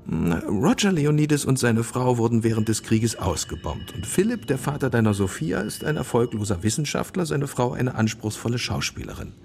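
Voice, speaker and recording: An actor playing a shrewd older police commissioner with a gravelly voice in a radio crime play. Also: male voice, mid-range voice, big pitch swings, moderate pace, few pauses, darkish tone, a little hiss or hum. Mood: dry briefing.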